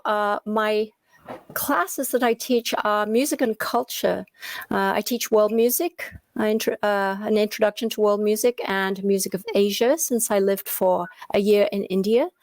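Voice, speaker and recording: A woman's voice: medium pitch, no echo. A woman from England with an English accent, a music instructor speaking as a panel guest with many small hesitations. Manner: friendly, full of uhs. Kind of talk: casual panel conversation.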